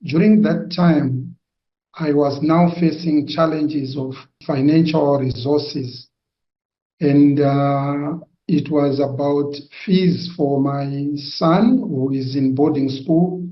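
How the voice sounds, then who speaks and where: A middle-aged man from Zimbabwe, giving a personal testimony, hesitant and full of pauses. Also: a little high, steady tone. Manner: hesitant, with frequent pauses and fillers. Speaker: testimony giver. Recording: dull, roomy sound.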